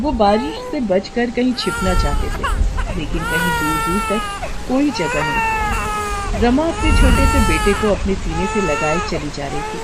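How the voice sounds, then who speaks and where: Woman telling a kids story, dramatic and really lively intonation, loud rainy noise behind her. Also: medium pitch. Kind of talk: scripted children's story narration. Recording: loud rain and ambience behind.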